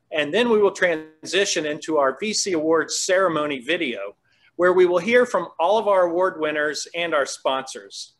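A man's voice: a little high, a bit bright. An older man hosting a virtual awards event over a video call, reading a formal welcome and asking interview questions. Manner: polished, formal announcer delivery. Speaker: host, association president. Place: open-plan office, indoors.

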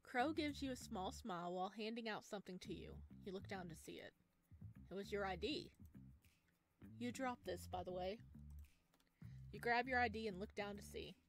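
A woman's voice: mid-range voice, normal speed, pauses often. A woman streaming a game, reading its story text aloud and adding casual commentary. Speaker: streamer playing a visual novel. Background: game music.

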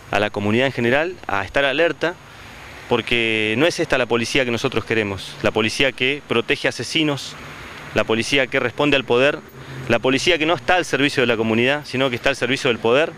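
Bright-voiced union leader outdoors. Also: male voice, mid-range voice, slight background noise. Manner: denouncing, measured. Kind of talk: press statement. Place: outdoors on a town street. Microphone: handheld reporter mic.

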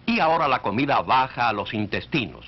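Man narrating, dull vintage soundtrack, animated pitch. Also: brisk. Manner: instructive, addressing the listener directly. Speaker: narrator of an educational cartoon. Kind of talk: 1940s scripted educational film narration. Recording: dull, band-limited 1940s film soundtrack.

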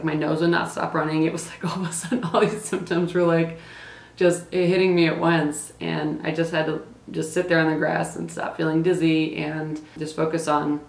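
A woman's voice: sits low, gravelly, reverberant. A young woman chatting to the camera about her race, full of "like" and "um" fillers. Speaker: running vlogger. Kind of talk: casual race recap to camera. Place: small indoor room, plain wall.

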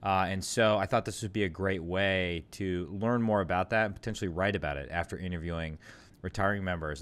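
A man hosting a podcast interview, joking and relaxed, with frequent ums and uhs. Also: low-pitched. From Midwest. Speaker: podcast host, congressman. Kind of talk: casual interview.

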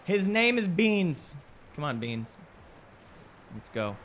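A man's voice: sits high, very animated, takes it slowly, fairly bright tone. A young man giving casual, joking gameplay commentary in a playful, goofy manner. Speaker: gaming YouTuber.

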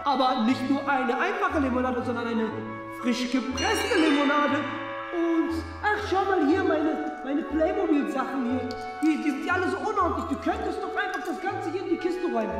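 A man's voice: very high-pitched, animated, moderate pace. A man in his twenties playing a bossy, smug little brother in a children's web sketch, wheedling and demanding in an exaggerated way. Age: 20s. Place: small furnished rooms, indoors. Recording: loud music behind the voice.

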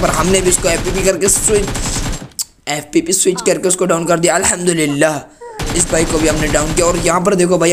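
A hoarse young man with a cold from Pakistan, giving chatty, playfully indignant commentary over his own gameplay as a gaming channel host. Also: fairly high pitch, noisy background.

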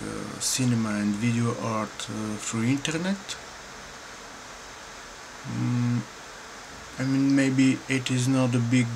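Brief: middle-aged Bulgarian-accented man, unhurried, pausing often, hum of room noise behind. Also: mid-range voice, gravelly. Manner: slow, halting, thoughtful, many pauses and fillers. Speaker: painter and filmmaker. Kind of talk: answering interview questions to camera. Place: dim room indoors at home.

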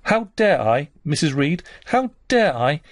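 A man's voice: medium pitch, expressive, moderate pace, no echo, studio quality, close to an everyday voice. Man voicing a passionate, defiant young girl. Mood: anguished, indignant. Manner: vehement, accusing, emphatic.

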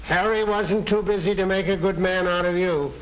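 A man's voice: high voice, fairly even intonation, moderate pace, close to an everyday voice. An actor playing a blunt American father prodding his grown son with pointed remarks in a 1940 training film. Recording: noisy old 1940 film soundtrack.

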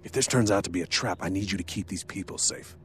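An actor playing a steady, commanding male resistance leader in a video game, firm and earnest. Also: low-pitched, fast talker, in a large hall, faint music behind.

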